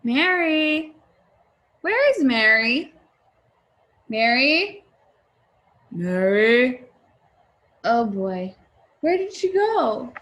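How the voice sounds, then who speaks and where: Woman acting out a frantic, high-calling storybook mom. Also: big pitch swings, extremely slow, long pauses, fairly bright tone, heavily acted. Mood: worried, searching. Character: worried mom frantically calling for her missing daughter. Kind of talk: children's picture book read-aloud.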